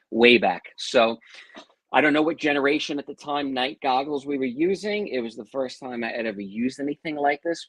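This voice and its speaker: A man's voice: mid-range voice, a bit bright, no echo, rough recording. A man from Long Island with a New York accent, a guest telling a long story in a chatty interview, full of asides.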